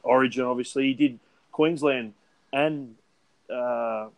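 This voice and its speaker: A mid-pitched Australian man in easy podcast banter. He rambles in a blokey way with casual swearing and the odd laugh between words. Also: fairly even intonation, measured pace, rough recording. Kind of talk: casual chat.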